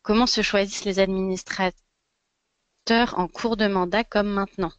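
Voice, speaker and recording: A woman's voice: medium pitch, fairly even intonation, moderate pace, smooth, no echo. A woman moderating a web conference, courteously hosting and reading out questions. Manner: courteous, polite.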